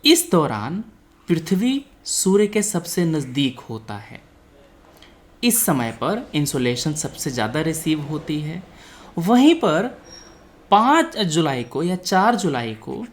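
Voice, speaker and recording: A man teaching an exam coaching lesson, explaining didactically, mixing in English terms and often checking that learners follow. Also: on the high side. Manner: didactic, frequently checks understanding; mixes Hindi with English technical terms. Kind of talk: explanatory lecture with quiz questions.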